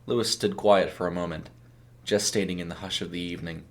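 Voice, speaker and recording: Low-voiced man narrating a story. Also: fairly even intonation, brisk. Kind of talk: scripted dramatic story narration.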